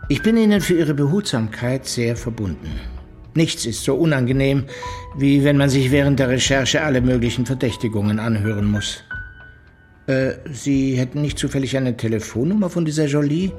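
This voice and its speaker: An actor playing a courteous police investigator in a radio crime drama, speaking formally and circumspectly. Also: male voice, mid-range voice, moderate pace, a little mellow, faint music behind, natural voice. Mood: measured, probing. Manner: formal, circumspect, old-fashioned phrasing.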